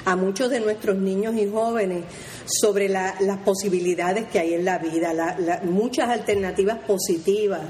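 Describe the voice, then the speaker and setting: A woman host with a warm, mellow voice asks questions and turns to address her listeners as friends. The sound is roomy and echoey. A faint background ambience runs under her. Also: medium pitch, fairly even intonation, normal speed, slight background noise. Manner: friendly, addresses audience as "amigos". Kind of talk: radio interview, addressing listeners.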